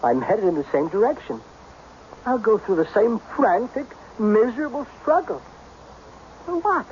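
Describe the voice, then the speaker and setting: An actor playing a frantic, practical businessman in an old-time radio drama, with an agitated, halting, intense delivery. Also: high-pitched, sing-song, a little mellow. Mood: bitter, despairing. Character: frantic, practical businessman, magically made younger. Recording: dull, noisy old radio recording.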